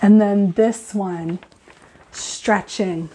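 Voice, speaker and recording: A woman teacher reading aloud and explaining to children in an expressive, deliberate, instructive way. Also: mid-range voice, breathy edge, low-quality recording.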